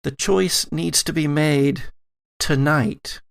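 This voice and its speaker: An elderly grandmother played by a man speaks slowly and gravely for a tabletop story. The voice creaks, and weighty pauses fall between phrases as this solemn mystic pleads. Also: a little high. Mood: solemn, foreboding.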